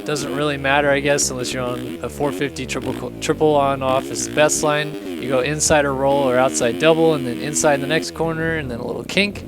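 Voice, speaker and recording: Young guy w a hoarse raspy voice, lots of fry, chill chatty walkthrough, bit of music faint behind. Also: mid-range voice, little pitch movement, brisk, few pauses. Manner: casual, chatty, apologetic, upbeat. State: hoarse, raspy from losing his voice. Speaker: host. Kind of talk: casual game track walkthrough commentary.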